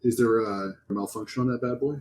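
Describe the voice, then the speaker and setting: A man's voice: on the low side, fairly even intonation, a little fast, darkish tone. A man narrating a tabletop horror game as game master, improvising descriptions in a casual way.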